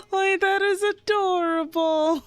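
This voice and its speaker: A woman's voice: very high-pitched, steady tone, takes it slowly. A young woman giving casual live reactions as a game streamer, gushing and giggly, often murmuring along. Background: game music.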